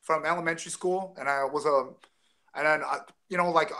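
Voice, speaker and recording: A man's voice: on the high side, fairly even intonation, fairly quick, pushed and bright. A man in his forties from Taiwan, telling stories as an interview guest over a video call, rambling with many restarts and fillers. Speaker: guest, former fighter. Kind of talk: casual interview storytelling. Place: home room with framed jersey. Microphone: video call webcam close up.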